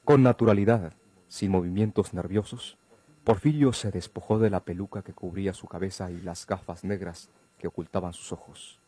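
Low, mellow male narrator. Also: normal speed, breathy edge. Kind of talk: scripted radio drama narration.

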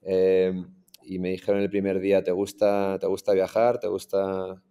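A man in his forties with a Madrid Spanish accent tells his life story on a video call. His voice sits very low and stays flat and mellow. He talks in a relaxed way, with many restarts and fillers. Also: moderate pace, clean tone. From Iran, raised in Madrid. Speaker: startup founder guest. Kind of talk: casual interview storytelling. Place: in front of a green screen, indoors.

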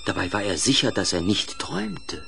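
A man narrating a children's audio play as a dramatic, deliberate storyteller with suspenseful pauses. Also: deep voice, lively intonation, gravelly, very noisy.

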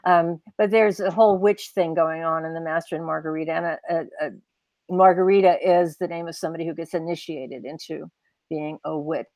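A woman's voice: lowish voice, no echo. An older woman, an author being interviewed, talking reflectively and explaining things in a casual conversation on a video call. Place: indoors at home on a video call. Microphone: wired earbuds on a video call.

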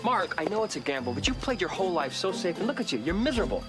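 Animated, persuasive scheming buddy. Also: male voice, high voice, rapid-fire, music in the background. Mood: fired up, persuasive. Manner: persuasive, sardonic. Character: scheming, fed-up male buddy, persuasive and cynical. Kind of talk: film comedy dialogue.

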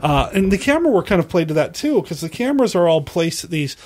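A man's voice: high-pitched, very animated, fast, a little mellow. A man hosting a podcast, discussing film in a casual, conversational way with joking asides. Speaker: podcast host.

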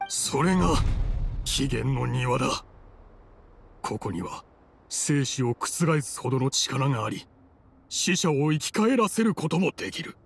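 Weary old man, fallen official, unhurried and regretful, middling pitch, game dialogue. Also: lively intonation, gravelly, breathy edge, full character voice. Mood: remorseful, weary. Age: older. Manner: slow, reflective, resigned. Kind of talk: Japanese game voice acting.

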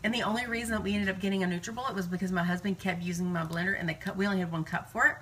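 Bright-voiced chatty woman vlogger. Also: mid-range voice, steady tone, very fast, slight background noise. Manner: chatty, digressive, informal. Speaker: live-streaming vlogger and meal-prep host. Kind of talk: casual rambling chat to live viewers. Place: home kitchen, indoors.

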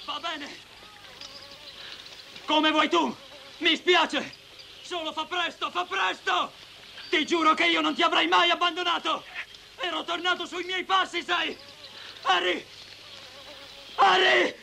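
Casting: desperate captive in film drama, frantic begging and cursing, gravelly, pitched extremely high. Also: male voice, flat delivery, slow, long pauses, a little hiss or hum, full character voice. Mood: pleading. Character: panicked, pleading captive man, cursing his armed companion. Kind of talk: dramatic film dub acting. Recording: loud film music underneath.

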